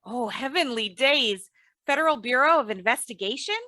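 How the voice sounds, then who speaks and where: A woman playing a patient, kindly, gently exasperated wife with genteel, homey manners in an old-time radio comedy. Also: on the high side, expressive, normal speed, no echo, clean studio sound. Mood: curious.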